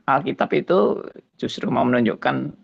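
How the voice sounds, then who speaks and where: A pastor in his seventies giving a slow, musing spoken testimony, often tagging his phrases with a soft "ya". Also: medium pitch, vocal fry, lo-fi sound. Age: 70s.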